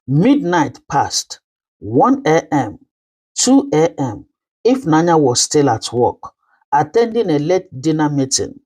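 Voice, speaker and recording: Slow, low-pitched woman storyteller. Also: sing-song, mellow, breathy edge. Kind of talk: scripted storytelling narration of a folktale.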